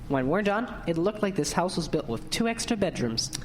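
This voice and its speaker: Woman playing a low-voiced, plain-spoken construction foreman. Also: very animated, moderate pace, slight background noise. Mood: easygoing. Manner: folksy, blunt, colloquial. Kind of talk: audio drama acting.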